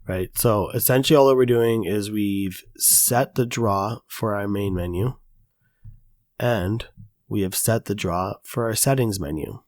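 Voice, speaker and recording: Man, slightly low voice, raspy from recent illness, casual tutorial host with plenty of ums, dry close mic. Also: a little slow, darkish tone, no room sound. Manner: casual, chatty, many fillers. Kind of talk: screen-recorded coding tutorial.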